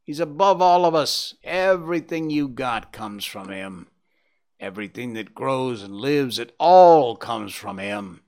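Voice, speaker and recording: A man voicing a fierce male dog in a storybook, excited and insistent in his fanatical devotion. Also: medium pitch, measured pace. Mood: outraged devotion. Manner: excited, howling, outraged, insistent.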